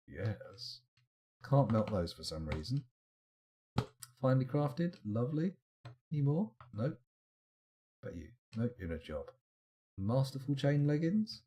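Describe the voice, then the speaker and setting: A tired man, chatting casually on a late-night game stream. His voice is low and mellow, and his pitch swings around a lot as he rambles and reads out the chat. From England.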